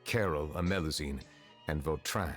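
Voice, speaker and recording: An actor playing a solemn, courteous, ancient chief justice in a video game, speaking in a formal, measured, grave manner. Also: male voice, rumbling low, soft background music. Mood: grave, reflective. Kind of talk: video game character acting.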